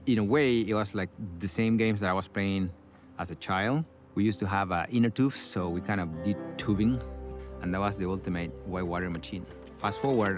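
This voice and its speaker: A middle-aged man with a Costa Rican accent and a fairly low voice, speaking as a river guide in a documentary voice-over. His delivery is relaxed and reflective, with casual fillers here and there. Music plays clearly underneath him. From Costa Rica.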